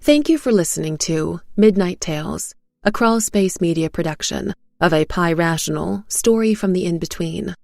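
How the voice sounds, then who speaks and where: A woman reading a first-person dramatic story in a suspenseful, deliberate way, as a scripted narrator. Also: on the low side, lively intonation, gravelly, warm tone, no echo. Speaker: narrator and voice actor. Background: faint music.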